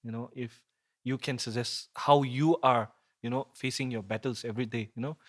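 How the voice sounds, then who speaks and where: A man's voice: mid-range voice, fairly even intonation, moderate pace, clean studio sound. A man hosting a casual conversational interview, attentive and echoing the points his guest makes. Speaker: podcast host.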